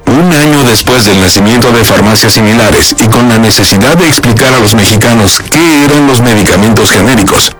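A man's voice: lowish voice, expressive, fairly quick, few pauses, loud music behind the voice. A man narrating a scripted feature report in a polished, upbeat promotional delivery.

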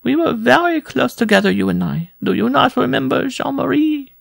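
A man's voice: fairly high pitch, moderate pace, gravelly, dry recording, studio quality, voice pushed up. A man voicing a gaunt, worn-out peasant woman from a story, pleading and bitter in a plaintive lament. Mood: tearful pleading.

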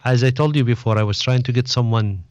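A man interviewing casually as a radio presenter, with halting, phrasing. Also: mid-range voice, a little mellow, no echo. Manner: halting, non-native phrasing. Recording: muffled, dull, dry close recording.

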